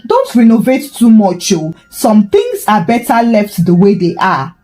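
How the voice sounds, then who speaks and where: A woman's voice: medium pitch, sing-song, a little slow, dark tone, faint music behind. A woman storyteller voicing an evasive male tenant who gives dry, veiled warnings in a folktale. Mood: wary, dry.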